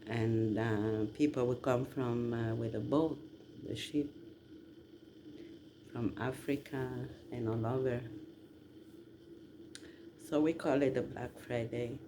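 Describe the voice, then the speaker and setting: A man from southern Italy, rambling casually to listeners in a halting, emphatic way. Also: mid-range voice, steady tone. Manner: halting, drawn-out delivery with emphatic questions. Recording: noticeable background noise.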